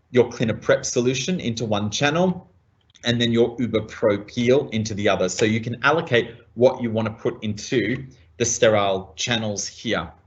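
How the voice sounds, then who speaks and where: Measured Australian man demonstrating products. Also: mid-range voice, highs cut off. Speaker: medical practitioner presenting products.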